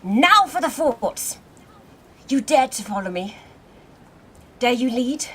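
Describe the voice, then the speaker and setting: A seasoned military commander in a radio drama. The voice is fairly high for a woman, with measured, theatrical authority and a wry edge. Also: big pitch swings, a bit bright, slight background noise, exaggerated voice. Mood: rousing. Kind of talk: radio play acting.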